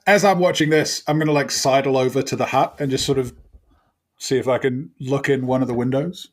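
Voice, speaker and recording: A man's voice: mid-range voice, steady tone, a little fast. A man playing a tabletop game, improvising casually in a tentative, wry manner. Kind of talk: casual improvised role-play chat.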